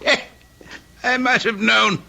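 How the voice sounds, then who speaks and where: A very high-pitched, creaky old male shopkeeper from an old-time radio play. He is fussy and querulous, halting over his words in a slow, swooping delivery. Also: steady tone, bright, forceful tone, exaggerated voice. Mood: grumbling. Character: fussy, grumbling old male antique dealer.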